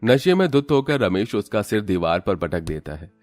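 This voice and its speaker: A man's voice: on the low side, big pitch swings, moderate pace, a little mellow, no echo, soft background music. A man reading scripted narration for a dramatic story in a storyteller's manner.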